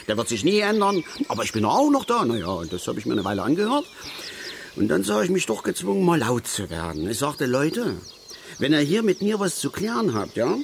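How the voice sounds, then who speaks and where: Animated, aggrieved middle-aged angler. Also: male voice, medium pitch, slight background noise. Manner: rambling, colloquial, halting asides, self-important. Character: aggrieved middle-aged male angler and family father, self-righteous, garrulous. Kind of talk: naturalistic German radio drama monologue and dialogue.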